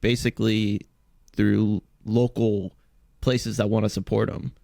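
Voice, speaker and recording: A man's voice: lowish voice, little pitch movement, measured pace, vocal fry, a little mellow, no echo, clean studio sound. A man chatting casually as a contributor on a podcast. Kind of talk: casual chat.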